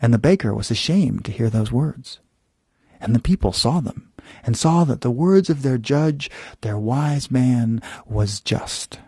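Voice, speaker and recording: A man telling a bedtime story as host, with deliberate phrasing and dramatic pauses. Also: medium pitch, animated, darkish tone, breathy edge, no echo, studio quality.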